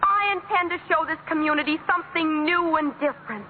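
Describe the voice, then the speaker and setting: A righteous schoolgirl, very high voice, declamatory, tinny old radio. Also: brisk, slight background noise. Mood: earnest. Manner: earnest, declamatory. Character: earnest schoolgirl newly made mayor, righteous. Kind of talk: 1940s radio sitcom acting.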